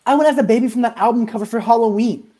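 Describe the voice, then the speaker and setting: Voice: medium pitch, racing, warm tone, natural voice. A young actor playing a sullen, laid-back schoolboy who loves hip-hop, in a sitcom table read over a video call. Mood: casual. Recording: noisy home video call with clicks.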